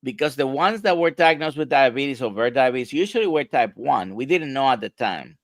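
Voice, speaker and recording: A man's voice: mid-range voice, expressive, brisk, dry recording, studio quality. A male doctor from Puerto Rico, explaining diet to an interviewer in an emphatic, conversational way with rhetorical questions.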